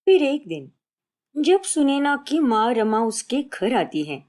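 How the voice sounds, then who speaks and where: A woman's voice: high voice, sing-song, takes it slowly, darkish tone. A woman narrating a scripted moral family story in a dramatic storytelling style.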